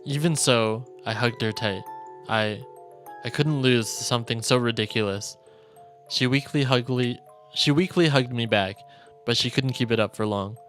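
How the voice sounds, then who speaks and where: A male streamer reading visual novel narration aloud in a solemn, deliberate storytelling manner. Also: medium pitch, fairly bright tone. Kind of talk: dramatic reading of game narration. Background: game music.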